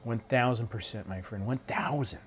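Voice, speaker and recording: Man, congested, sniffly from a cold, live stream host, casual slangy chat, mid-pitched voice. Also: moderate pace, soft background music. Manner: laid-back, slangy, says dude and man a lot.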